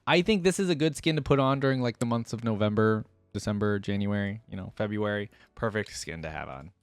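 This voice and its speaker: A man's voice: fairly low pitch, a little fast, smooth, dry recording. A man giving casual reaction commentary as a video host, chatty, exclaiming and easily amused.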